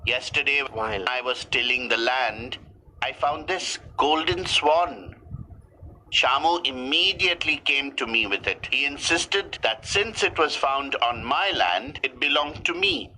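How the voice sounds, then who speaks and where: Guy doing a humble honest farmer from a storybook, earnest, voice lifts up high, very bright. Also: expressive, measured pace, a little hiss or hum, low-quality recording. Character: honest, humble village rice farmer, adult man. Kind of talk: storybook character dialogue.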